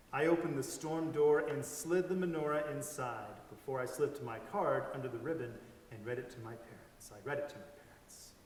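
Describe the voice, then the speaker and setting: A man's voice: fairly high pitch, slight background noise. A man reading a story aloud in a dramatic staged reading. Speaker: reader in a church staff dramatic reading. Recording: echoey hall, distant microphone.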